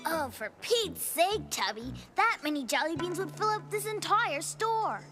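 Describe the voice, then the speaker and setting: A woman's voice: very high-pitched, lively intonation, measured pace, music in the background. A woman voicing a sensible, doubtful little girl, a sidekick friend in a children's cartoon, with a matter-of-fact manner. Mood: exasperated.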